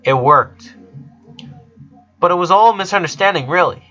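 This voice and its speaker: Guy narrator doing sweet earnest kid, gentle and hesitant, storybook dialogue, bit lo-fi. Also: high voice, moderate pace, voice pushed up. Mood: flattering. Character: earnest, caring eleven-year-old boy.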